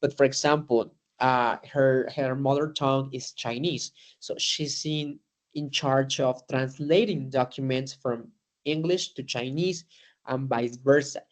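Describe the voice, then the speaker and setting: A man's voice: mid-range voice, steady tone, measured pace. A man whose first language is Spanish gives an informal lecture to students in English, with many fillers, restarts and "no?" tag questions.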